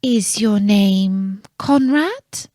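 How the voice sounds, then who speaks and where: A woman's voice: mid-range voice, lively intonation, very slow, dark tone, no room sound, clean studio sound. A woman voicing an anxious young queen in a children's storybook, with a questioning delivery. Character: young queen anxiously guessing a secret name.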